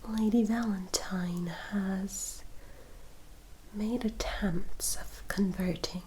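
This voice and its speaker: A woman voicing a gentle, loyal young vampire in a whispered ASMR roleplay, speaking in a careful, slightly formal way. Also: mid-range voice, steady tone, extremely slow, slight background noise, rough recording. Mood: hushed, wary.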